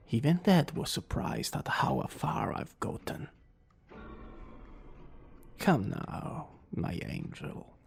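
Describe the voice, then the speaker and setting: Casting: male actor as smug young student villain for romantic ASMR; creaky, whispery husk, teasing and unhurried. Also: medium pitch, full character voice. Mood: smug, gloating. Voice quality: breathy, husky, often dropping to a whisper.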